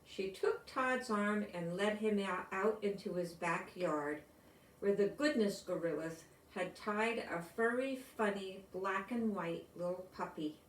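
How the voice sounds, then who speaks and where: Slow-reading older woman, echoey room. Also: mid-range voice. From United States. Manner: simple, explanatory, addressing young listeners. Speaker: storybook reader. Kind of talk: read-aloud children's story with asides. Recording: roomy echo, distant microphone.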